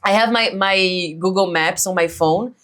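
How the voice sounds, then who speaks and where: A woman's voice: on the low side, measured pace, a little hiss or hum. A woman from Brazil with a Brazilian Portuguese accent, a guest chatting frankly and laughing often in a casual interview. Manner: lively, frank, laughs often, many fillers.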